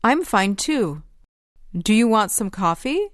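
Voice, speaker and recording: A woman reads a friendly speaker in a language-course dialogue. Her voice sits at a middle pitch and the delivery is careful and even. The recording is dry, with no room sound. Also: slow, no echo. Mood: plain friendly. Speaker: dialogue reader in an English lesson. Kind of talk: slow scripted textbook dialogue.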